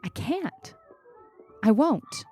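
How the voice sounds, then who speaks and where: Storybook schoolgirl read by a woman: earnest, anxious, pleading, pitched up from the narration, light music behind. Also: very animated, extremely slow, a little mellow, exaggerated voice. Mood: distressed refusal. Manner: pleading, whispery at times.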